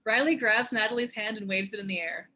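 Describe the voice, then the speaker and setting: A woman with a husky voice reading scripted stage directions as the narrator of a table read. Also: mid-range voice, quick pace, pushed and bright. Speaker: narrator and show co-creator.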